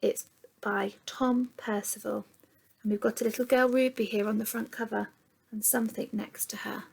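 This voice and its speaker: A woman with a fairly high, breathy voice that often slips into creak reads a children's story aloud. She is expressive and teacherly, speaking straight to young listeners and dropping into hushed tones for effect. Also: normal speed. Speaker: teacher reading a picture book to pupils.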